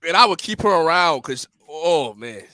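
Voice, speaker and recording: A man's voice: a little high, sing-song, moderate pace, a crowd in the background. A man of about twenty in casual group banter, speaking emphatically and repeating himself insistently. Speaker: podcast panelist.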